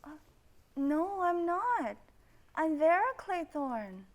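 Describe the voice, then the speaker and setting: A composed, practical young lady acted by a woman in a stage play. The voice is extremely high, with the projected lines of student theatre. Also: very animated, slow pace, exaggerated voice. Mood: composed, curious.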